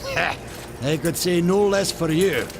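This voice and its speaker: An actor playing an eager, good-natured young maker in a fantasy video game, with a hearty, folksy manner. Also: male voice, a little high, measured pace, vocal fry, background noise. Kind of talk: video game cutscene acting.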